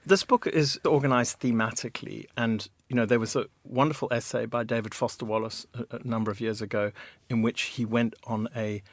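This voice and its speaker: A man's voice: medium pitch, fairly even intonation, brisk, no room sound. A middle-aged man from Zimbabwe with a Zimbabwean accent, a writer giving a reflective radio interview and often restarting his sentences. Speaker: author guest.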